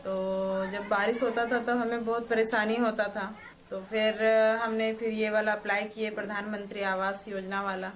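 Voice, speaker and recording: A woman answers a reporter's questions in plain, everyday speech. She talks flat and even, and faint background noise runs underneath. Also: mid-range voice, moderate pace. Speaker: interviewee. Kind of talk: casual interview.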